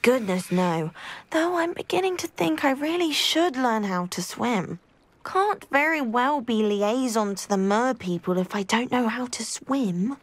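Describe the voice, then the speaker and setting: A woman's voice: on the high side, lively intonation, measured pace. A woman playing an earnest, chatty and ambitious teenage witch in a video game, eager and candid. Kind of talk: video game character acting.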